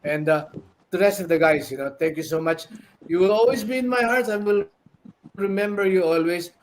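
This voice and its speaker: An older man with a Filipino accent reminiscing casually and sentimentally in halting phrases on a video call. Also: high-pitched. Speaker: guest, former radio personality. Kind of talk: casual reminiscing in a group chat. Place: indoors at home, on a video call. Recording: noisy video call with clicks.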